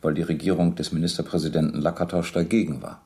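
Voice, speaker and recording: An actor playing a methodical police captain in a radio play, questioning and reading out documents in a formal, controlled way. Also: male voice, rumbling low, fairly even intonation, fast talker, vocal fry, a little mellow. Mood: probing. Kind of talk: German radio docudrama interrogation acting.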